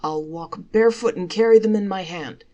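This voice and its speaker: A woman's voice: medium pitch, normal speed, dark tone, dull audio, lightly acted. A woman voicing a naive, dreamy village man from a folktale, earnest and full of wonder. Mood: dreamy, insistent. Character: naive dreamy Chelm villager Reb Selig, adult man, easily amazed.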